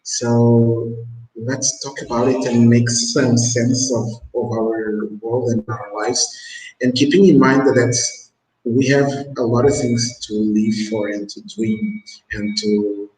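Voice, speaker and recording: A man hosts an online talk show in a slow, unhurried way, pausing and restarting his sentences often. His voice sits at an ordinary pitch. The call is recorded in a room with a noticeable echo. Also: little pitch movement, a little mellow, soft background music. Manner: slow, halting, with many restarts. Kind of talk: casual interview hosting. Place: Mozambique.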